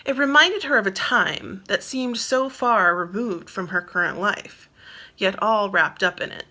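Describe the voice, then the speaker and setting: A woman's voice: medium pitch, unhurried, pushed and bright. A woman reading her own novel aloud as narrator, in scripted storybook style.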